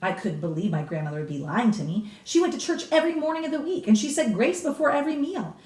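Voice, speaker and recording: Animated woman reading a children's book aloud. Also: a little high, fast. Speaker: storybook reader. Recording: roomy echo, slightly distant mic.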